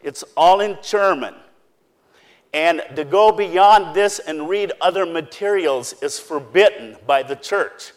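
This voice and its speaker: A man's voice: fairly high pitch, takes it slowly. A man whose first language is Pennsylvania Dutch, giving an earnest, plain-spoken talk and explaining step by step. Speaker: speaker giving a presentation.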